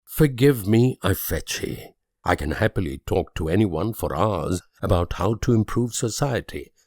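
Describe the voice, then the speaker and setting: An actor playing a grave, idealistic and world-weary political leader in a strategy game, speaking in measured, solemn oratory with dramatic pauses. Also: male voice, low-pitched, animated, a little mellow, dry recording, studio quality, heavily acted. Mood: rueful.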